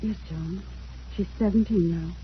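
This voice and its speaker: A woman's voice: fairly low pitch, unhurried, close to an everyday voice. An actress playing an ailing, remorseful mother who pleads melodramatically in an old radio drama. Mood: bitter regret. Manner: pleading, melodramatic, self-reproachful. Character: ailing, remorseful, desperate mother pleading for her grown daughter. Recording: dull, noisy vintage radio recording.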